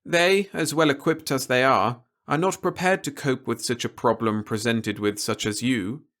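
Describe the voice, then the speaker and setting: A man voicing a stern, articulate male official in an audiobook, with emphatic, deliberate, formal phrasing. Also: medium pitch, a bit bright, voice pushed up, natural voice. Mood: stern, warning. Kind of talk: audiobook character dialogue.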